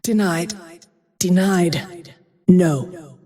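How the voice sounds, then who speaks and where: An actress voicing a grim, vengeful frost archer in a video game, with cold, terse, menacing delivery and a breathy tone that sometimes drops to a whisper. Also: female voice, lowish voice, drawn-out words, dark tone, soft background music. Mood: grim disdain. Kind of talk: video game character voice lines. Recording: long cavernous reverb tail.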